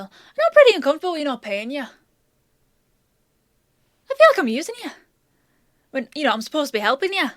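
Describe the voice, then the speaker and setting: Woman doing a gruff but kind fantasy apothecary lady, highish voice, stammery and emotive, really dry close sound, audio roleplay. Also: fairly bright tone, no room sound. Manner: hesitant, stammering, emotive, conversational. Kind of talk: intimate scripted audio roleplay monologue.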